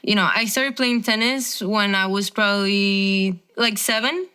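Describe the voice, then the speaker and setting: A woman's voice: medium pitch, a little slow, pushed and bright, clean tone. A young woman from Venezuela, a professional tennis player, chatting casually as an interview guest with lots of filler words. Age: 20s.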